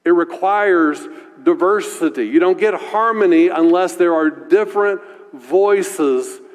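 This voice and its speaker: A male pastor with a deep voice preaching a sermon in a conversational way, often asking "right?". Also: slow pace, long reverb tail.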